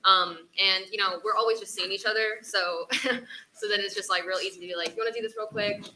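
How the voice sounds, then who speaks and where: A young woman student on a stage panel, relaxed and rambling as she explains things. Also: medium pitch, a little fast, a little hiss or hum, low-quality recording. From United States. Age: young adult. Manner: relaxed, rambling, laughs easily. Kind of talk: casual chat. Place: theater stage.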